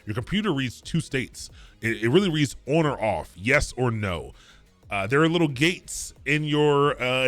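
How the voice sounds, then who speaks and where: A man from the Baltimore area with a Baltimore accent, teaching a live-streamed coding class in a quick, casual way, repeating and restarting phrases and chatting with viewers. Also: mid-range voice, a bit bright, no echo. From Baltimore area, Maryland. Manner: chats with viewers between points. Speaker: coding bootcamp instructor.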